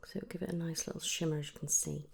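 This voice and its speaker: A woman speaks in a soft, breathy, half-whispered ASMR style, gently reassuring like an attentive make-up artist in a one-to-one roleplay, close to the microphone. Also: steady tone, racing. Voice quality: breathy whisper mixed with soft low speech.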